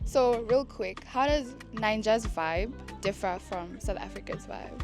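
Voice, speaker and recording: A woman asks short interview questions. Her pitch sits in the middle. Music plays clearly underneath. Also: a little slow. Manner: brief questions and prompts.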